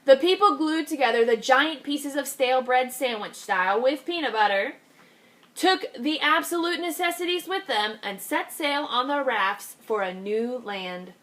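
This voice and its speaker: A woman, a kindergarten teacher, reading a picture book aloud with expressive delivery, slowing down to stress key phrases. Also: high-pitched.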